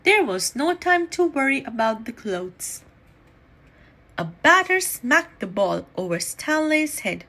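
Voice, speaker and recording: A woman reading a children's story aloud in an animated, deliberate storytelling manner. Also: high-pitched, a little hiss or hum. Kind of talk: expressive read-aloud storytime narration.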